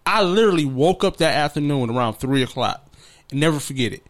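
A man's voice: a little high, animated, quick pace. A man from Detroit hosting a casual podcast chat, telling stories in a laid-back, slangy way. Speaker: podcast host.

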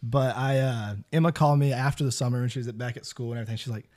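A man's voice: mid-range voice, fairly even intonation, racing, a little mellow. A man of twenty-two, a grad student, chatting casually as a podcast guest with a joking, teasing way. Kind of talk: casual chat.

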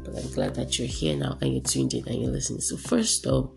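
A woman hosting a radio teaching broadcast, her voice raspy and hoarse from a cold, speaking earnestly and encouragingly. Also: a bass voice, very animated, brisk, music in the background. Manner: earnest, encouraging, repeats herself for emphasis. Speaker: radio preacher and host.

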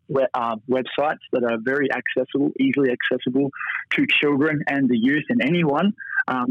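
Rambling Australian man on a dull line. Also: medium pitch. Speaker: guest. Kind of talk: casual interview chat.

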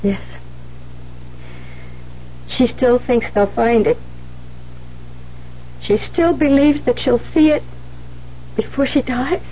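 An actress playing an earnest, hesitant young nun in a 1970s radio drama, speaking in a halting, unsure way. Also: female voice, fairly high pitch, warm tone. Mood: sad, resigned. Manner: halting, unsure, repeats words. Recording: muffled, hissy 1970s radio recording with hum.

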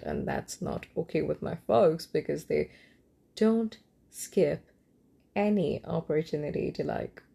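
A woman's voice: low-pitched, creaky, warm tone. A young woman from Kolkata with a cold, talking in a loose late-night podcast monologue with many ums and restarts. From India, Kolkata. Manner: rambling with frequent ums and restarts, light laughs. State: has a cold. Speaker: podcast host.

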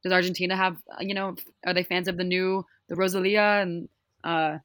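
A woman's voice: fairly low pitch, little pitch movement, brisk, fairly bright tone, dry recording, studio quality. A woman co-hosting a casual interview, asking curious questions one after another. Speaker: podcast co-host.

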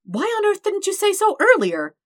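A woman's voice: extremely high pitch, huge pitch swings, normal speed, no echo, studio quality, voice pushed up, exaggerated voice. A woman voicing a flustered, dramatic, lovestruck young man in a story, rambling in wordy formal phrases. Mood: excited, hopeful.

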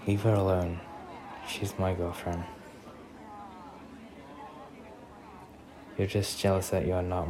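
Man playing a very low-voiced, tender young boyfriend. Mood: firm, protective. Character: protective, tender, popular young boyfriend on a date. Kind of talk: ASMR boyfriend roleplay. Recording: clearly noisy recording with ambient sound.

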